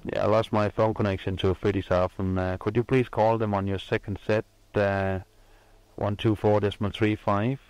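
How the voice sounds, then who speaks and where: A man's voice: lowish voice, normal speed, dry recording, low-quality recording. A man working as an air traffic controller, giving clipped, procedural radio instructions to pilots. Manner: flat, procedural.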